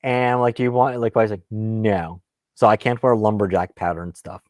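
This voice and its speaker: A man's voice: on the low side, steady tone, moderate pace, a little mellow, dry recording, studio quality. A man whose first language is Russian, hosting a casual live question-and-answer stream about guns in a rambling, self-deprecating, lightly salty way.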